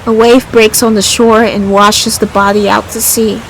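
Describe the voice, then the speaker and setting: A woman's voice: medium pitch, little pitch movement, moderate pace, low-quality recording. Woman gamer with some background noise. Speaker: gamer playing and reading aloud. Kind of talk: casual game playthrough commentary.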